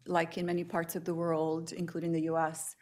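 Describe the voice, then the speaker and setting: A woman's voice: low voice, monotone, a little fast, a little mellow. Earnest panelist woman from Albania. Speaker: panelist, international development professional. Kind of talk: panel discussion remarks.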